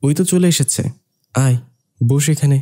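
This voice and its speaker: A man playing a loyal young village friend who tells a cartoon story in a sentimental, measured way. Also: medium pitch, mellow, close to an everyday voice. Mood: friendly. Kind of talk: dramatized story narration in an amateur cartoon. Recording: music behind the voice.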